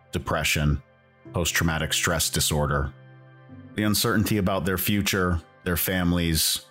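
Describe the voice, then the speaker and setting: A man with a very deep voice narrates a documentary podcast in a measured, earnest way. His delivery is scripted and even. Faint music plays beneath him.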